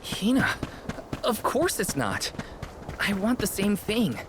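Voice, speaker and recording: An actor playing an earnest, loyal young hero in a fantasy video game, boyish and a little hesitant. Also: male voice, sits high, huge pitch swings, slow pace, full character voice. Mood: tender. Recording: noisy ambience behind voice.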